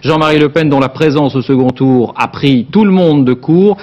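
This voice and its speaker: A man delivering an archive news report in measured news style. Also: a little high, darkish tone, highs cut off. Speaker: news presenter.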